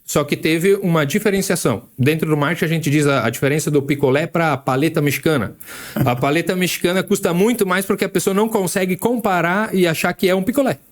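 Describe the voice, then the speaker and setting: A man's voice: a little high, quick pace. A man co-hosting a casual podcast who explains things with examples and often restarts his sentences. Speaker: podcast co-host.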